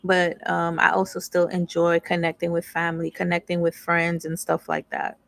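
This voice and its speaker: Female tarot reader, young, flat low delivery, dry close sound. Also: normal speed, creaky, dry recording. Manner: candid, slangy, reads card text aloud then riffs. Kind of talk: casual channeled tarot reading.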